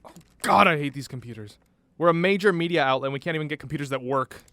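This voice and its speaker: A man's voice: a little high, quick pace, fairly bright tone. An actor playing a brash, insistent male newspaper reporter who swears freely in an audio drama.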